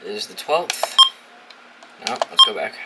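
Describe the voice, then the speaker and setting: A man's voice: fairly low pitch, very animated, a little slow, very noisy. A young American man from New England giving casual, informal hands-on commentary, thinking aloud and swearing freely in a dorm room. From New England, United States. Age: young adult. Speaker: video host reviewing a gadget. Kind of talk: casual unboxing and setup commentary.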